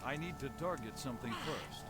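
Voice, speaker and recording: A man's voice: medium pitch, lively intonation, brisk, natural voice. An actor playing a male game hero, giving short combat alerts. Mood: matter-of-fact. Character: male player hero calling out combat warnings. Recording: loud game music behind voice.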